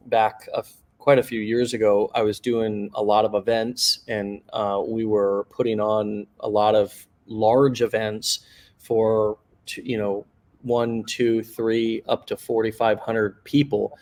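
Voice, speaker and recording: A man hosting an interview, talking casually in short phrases with halting pauses. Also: lowish voice, flat delivery, a little mellow. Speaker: interviewer, show host.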